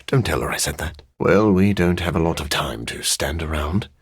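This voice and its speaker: An actor playing a sophisticated, bookish vampire scientist in a video game, speaking in an articulate, formal way with dry wit and a raspy edge. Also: male voice, a bass voice, expressive, normal speed, dry recording, exaggerated voice. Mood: wry. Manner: articulate, formal, dryly witty. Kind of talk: video game character voice lines.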